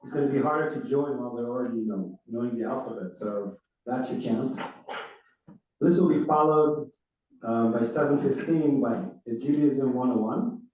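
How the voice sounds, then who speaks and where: A man's voice: mid-range voice, moderate pace, a little mellow. A male rabbi whose first language is Hebrew, giving a chatty, informal run-through of upcoming classes to his congregation. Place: large synagogue room. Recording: echoey hall, far off, dull.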